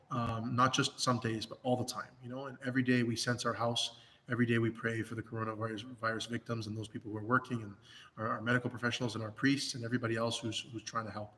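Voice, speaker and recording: A hoarse man speaking as a priest in a livestreamed pastoral talk, earnest, with many restarts and fillers. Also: mid-range voice, a bit bright, big-room sound. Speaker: Orthodox priest.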